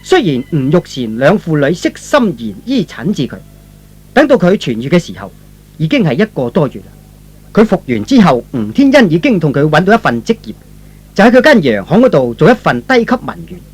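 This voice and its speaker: A man's voice: fairly high pitch, wildly swooping pitch, a little fast, husky. A man narrating a scripted serial drama in the dramatic manner of old radio storytelling.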